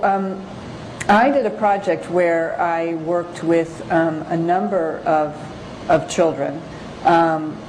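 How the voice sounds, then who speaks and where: Woman, low voice, presenting as a photographer-lecturer; hesitant, pause-filled, informal delivery over noticeable background noise. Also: darkish tone, low-quality recording. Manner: halting, hesitant, pausing often. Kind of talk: informal slide lecture with read-out excerpts.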